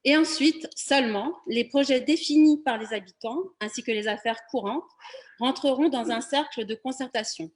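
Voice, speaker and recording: A woman with a fairly high voice speaks as a candidate in a local election. She delivers a prepared presentation, setting things out formally for a public meeting. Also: normal speed.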